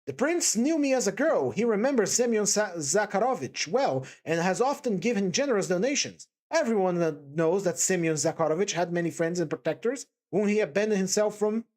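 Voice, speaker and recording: A man reading aloud plays a frantic woman at a deathbed, her voice pushed well up into a high, strained register. She rushes her words in a proud, pleading torrent. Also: lively intonation, a little mellow. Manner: rapid, pleading, high-strung delivery. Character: desperate, proud impoverished woman, shrill and frantic.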